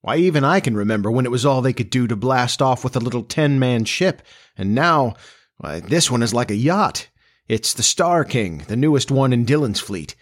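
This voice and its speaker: A man voicing an eager, starry-eyed nineteen-year-old farm boy in a storybook, with an excitable, folksy, boyish manner. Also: mid-range voice, normal speed, pitched above the performer's own voice, lightly acted. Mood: excited, awed.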